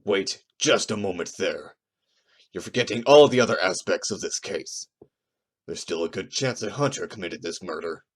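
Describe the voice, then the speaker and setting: A stern wolf arguing in court, voiced by a man, with a deep voice. He is challenging and deliberate, in the manner of an audio drama. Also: animated, a little hiss or hum.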